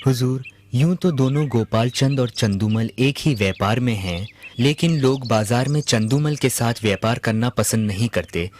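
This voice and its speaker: A man's voice: medium pitch, normal speed, few pauses, lightly acted. A man voicing an obedient royal servant in a cartoon, reporting in a formal, deferential manner. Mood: dutiful. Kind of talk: Hindi cartoon voice acting. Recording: music behind the voice.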